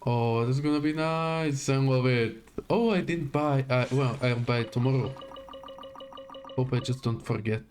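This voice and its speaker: A man's voice: medium pitch, normal speed, darkish tone, soft background music. A man giving casual live gameplay commentary, muttering to himself in short fragments. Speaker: game livestreamer.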